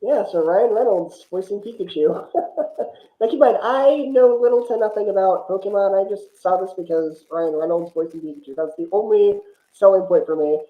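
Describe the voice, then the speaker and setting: A man's voice: high voice, moderate pace. Man, muffled echoey recording, casual film chat, talkative guest reviewer, explanatory with asides.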